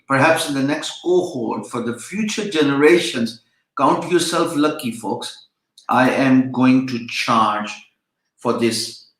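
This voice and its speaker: A man's voice: medium pitch, a bit bright. Chatty man lecturing in an echoey room. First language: Urdu. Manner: rambling, chatty, many fillers and restarts. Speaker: online freelancing instructor. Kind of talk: casual live-stream lecture.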